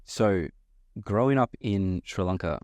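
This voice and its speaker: A man's voice: deep voice, expressive, darkish tone, no room sound, clean studio sound. A man hosting a podcast interview, asking friendly questions that he often restarts partway through. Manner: friendly, halting, repeats words while asking questions. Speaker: podcast host.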